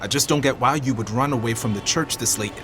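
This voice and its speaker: A man's voice: mid-range voice, fast talker, noisy background, natural voice. An actor playing a weary, worried adult man, a struggling comedian, in a radio drama. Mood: weary, exasperated.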